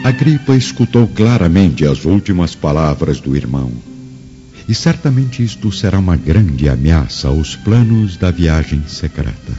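A man's voice: low voice, sing-song, measured pace. A man narrating a radio drama in a solemn, theatrical scripted style. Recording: dull lo-fi radio drama, music underneath.

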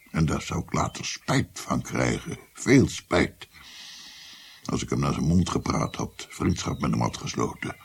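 A man's voice: extremely low pitch, full character voice. An actor playing an old physician, a grandfather who recounts his past in a reflective, rueful radio drama narration. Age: older. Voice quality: gravelly, husky.

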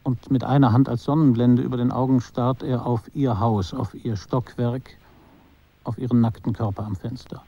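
An actor narrating a German radio drama as a detached, quietly observant storyteller, measured and understated. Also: male voice, mid-range voice, steady tone, dull audio, close to an everyday voice.

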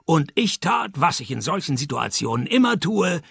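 An actor playing a wry, sardonic private detective in a radio play, with a dry, deadpan, mocking delivery. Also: male voice, fairly high pitch, normal speed, gravelly, husky, no room sound, full character voice. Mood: wry narrating. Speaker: lead actor and narrator. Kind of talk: radio detective play, scripted narration and dialogue.

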